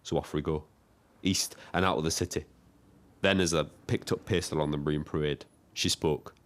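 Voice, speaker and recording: A very deep-voiced, lonely British cabbie. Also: male voice, steady tone, a bit bright. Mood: wry, reflective. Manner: measured, wry, literary. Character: lonely, weary, observant young male taxi driver. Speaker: narrator. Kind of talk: scripted first-person narration.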